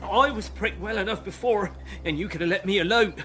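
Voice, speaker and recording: An actor playing an aggrieved, humble young country recruit in a radio drama, grumbling plaintively. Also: male voice, sits high, a little fast, sharp tone. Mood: aggrieved, pleading. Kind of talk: classical radio drama acting.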